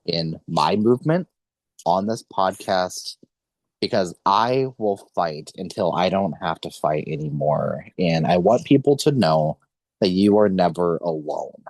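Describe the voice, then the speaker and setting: A young man with a low-pitched voice, recorded close and dry, hosts a conversational podcast. He speaks earnestly, placing deliberate emphasis on his phrases like an interviewer with a point to make. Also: animated, darkish tone, no room sound.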